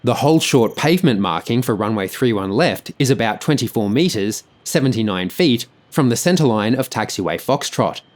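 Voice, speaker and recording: A man's voice: medium pitch, animated, moderate pace, hardly any pauses, a little mellow. A man reading scripted explanatory narration about an aviation incident.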